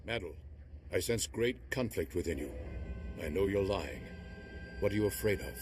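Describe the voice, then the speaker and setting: A man's voice: low voice, expressive, normal speed, a little mellow. A man playing an earnest, grave Jedi military ally in a video game, speaking with composed authority. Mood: grave, probing. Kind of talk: scripted video game acting. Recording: faint game music behind the voice.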